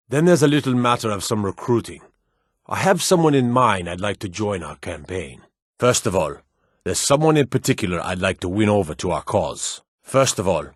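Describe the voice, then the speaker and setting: A brusque fantasy war officer with a lowish, slightly rough voice. He delivers scripted video game briefings in a terse, commanding, businesslike way. The sound is dry and close, with little room around it. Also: dry recording.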